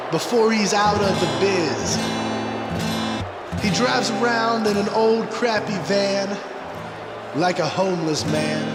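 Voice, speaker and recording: A smug musician villain in a wrestling game. He boasts in a high voice, very slowly, stretching out his taunts. Loud guitar music plays in an echoing arena. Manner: slow, drawn-out, third-person boasting. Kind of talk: wrestling promo acting.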